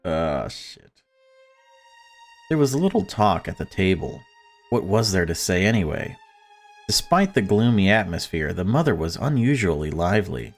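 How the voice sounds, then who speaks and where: A man's voice: low-pitched, very animated, normal speed, soft background music. A man reading a visual novel aloud as a let's-player, with informal, sweary asides. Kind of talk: narration and casual reactions to a visual novel.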